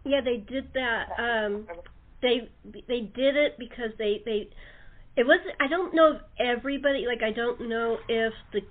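A woman's voice: a little high, unhurried. A woman talk show host chatting casually in a chatty, enthusiastic way. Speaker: talk show host and radio-play producer. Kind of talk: casual chat. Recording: thin narrow phone-line audio.

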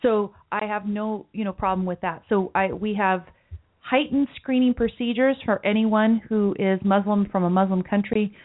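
A woman's voice: mid-range voice, moderate pace. A woman hosting a political talk podcast, with a light voice she calls mousy, earnest and often repeating words as she thinks aloud. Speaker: podcast host. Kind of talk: political talk show discussion. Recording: phone-like lo-fi audio.

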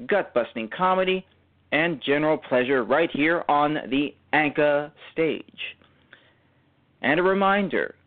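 A man hosting a radio show, reading a formal, wordy introduction with halting stumbles. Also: on the high side. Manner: formal, elaborate announcer phrasing with halting stumbles. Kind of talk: live scripted show introduction. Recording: tinny phone-like internet radio line.

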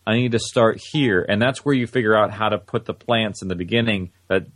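A man with a fairly low voice talks as an interview guest about his creative work. The sound is close and dry. He thinks aloud as he explains, sometimes voicing what someone else might say. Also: a little fast, a bit bright, no echo. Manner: thinks aloud, illustrates with imagined quotes. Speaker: guest, audio-drama writer and producer. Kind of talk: conversational interview, explaining craft.

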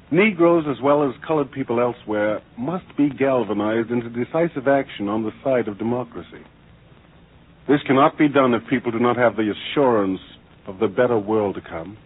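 A male radio narrator with a husky, mid-pitched voice. He reads in a formal, declamatory 1940s style. The recording is narrow and dull, like an old broadcast.

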